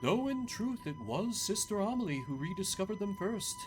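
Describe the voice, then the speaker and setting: A game player voicing a weary, regretful male priest with a formal, measured, soothing manner, over music. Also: medium pitch, sing-song, natural voice. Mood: calm, explanatory. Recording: music under the voice.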